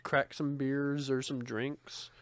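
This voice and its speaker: A young man co-hosting a podcast, with a deep voice and a relaxed, rambling way of chatting. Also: slow pace, clean tone, no room sound. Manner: laid-back, laughing, trails off mid-sentence. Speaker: podcast co-host. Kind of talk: casual chat.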